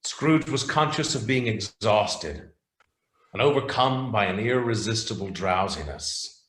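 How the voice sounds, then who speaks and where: A man's voice: on the low side, animated, unhurried, fairly bright tone. A man reading scripted storybook narration as the narrator of a radio play. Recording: roomy, echoing sound.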